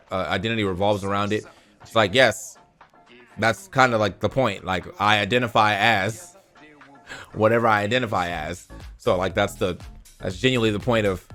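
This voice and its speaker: Low-voiced man doing casual commentary. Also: fairly bright tone. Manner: informal, slangy, swears freely. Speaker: host of a gaming news show. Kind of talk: casual commentary, reading posts aloud.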